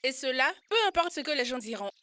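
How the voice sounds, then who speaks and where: A woman's voice: high voice, animated, fast, sharp tone. A woman voicing a keen, earnest schoolchild in a children's cartoon. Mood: resolved. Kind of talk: French-language children's cartoon dub.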